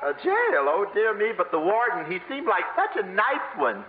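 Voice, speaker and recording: A man's voice: high-pitched, wildly swooping pitch, normal speed, voice pushed up. A man playing a poor, fussy, sweet old lady in a fluttery, gushing manner, in 1950s radio comedy style. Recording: muffled, echoey, hissy old radio recording.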